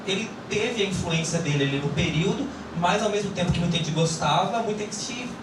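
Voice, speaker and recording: Explanatory film director, distant and echoey. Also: male voice, high voice, a little fast. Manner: orderly, explanatory. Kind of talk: explaining history at a panel. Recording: echoey room, distant mic, loud noise.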